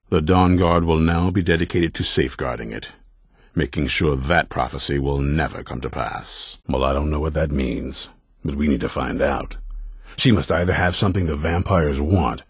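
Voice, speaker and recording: A stern male leader of vampire hunters in a fantasy video game. His voice is extremely low and thick with gravel, recorded close and dry. He speaks bluntly and with command, suspicious and grudging toward those around him. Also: no echo, exaggerated voice. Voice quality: very deep, gravelly, raspy. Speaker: leader of a vampire-hunting order.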